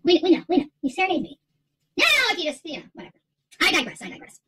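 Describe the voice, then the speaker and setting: A woman's voice: high-pitched, sing-song, racing, fairly bright tone. A middle-aged woman chatting casually to livestream viewers as host, emphatic, repeating herself and rambling. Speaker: livestream creator and channel host. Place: small furnished room at home, indoors.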